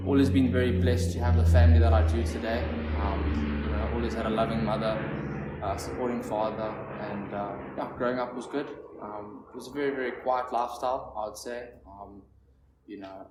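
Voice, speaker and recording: A nervous young man in his twenties from Zimbabwe, sharing his recovery story at a meeting in a halting, rambling way. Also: lowish voice. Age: 20s. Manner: halting, rambling, heavy on fillers. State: nervous, shaky. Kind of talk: personal recovery share. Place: meeting room with an audience. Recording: echoey room, distant mic, faint noise.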